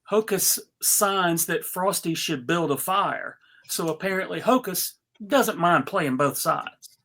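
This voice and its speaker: A reader of stage directions with a mid-pitched, gravelly voice. The delivery is slow, measured and wry, as at a script read-through. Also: animated, fairly bright tone. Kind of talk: reading script directions aloud.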